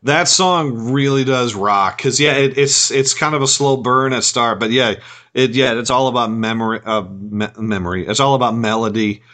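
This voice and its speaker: A man co-hosting a casual podcast chat, talking in a halting way with many repeated words. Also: medium pitch, sharp tone. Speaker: podcast co-host.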